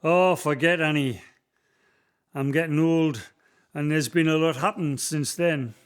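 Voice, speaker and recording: Man playing old stone cutter, Northumbrian accent, gruff, plain-spoken, slightly high voice. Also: measured pace, close to an everyday voice. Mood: weary. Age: older.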